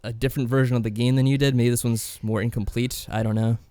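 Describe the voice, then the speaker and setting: A man co-hosting a podcast, casually discussing games in a matter-of-fact, low-key way. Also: level tone, fast, mellow, clear, resonant tone, no room sound, studio quality. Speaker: podcast co-host.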